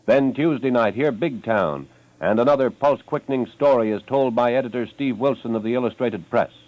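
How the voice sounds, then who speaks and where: A dull vintage recording of an American man announcing for a radio network. He reads scripted promos in polished fifties style. The sound is close and dry, with a medium-pitched voice. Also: a little fast, no echo.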